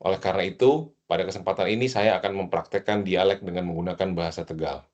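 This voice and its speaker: A man from Jakarta giving a measured, formal class self-introduction. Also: sits low, steady tone, darkish tone, dull audio. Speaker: student presenter. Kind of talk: class presentation.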